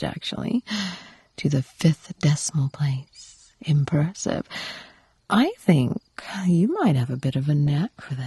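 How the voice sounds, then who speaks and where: A woman playing a doting, possessive, teasing wife in an intimate audio roleplay, cooing in a breathy voice that often drops toward a whisper. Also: fairly low pitch, darkish tone, no echo, clean studio sound, exaggerated voice. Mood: fawning, affectionate. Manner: coaxing, cooing pet names, unhurried murmuring.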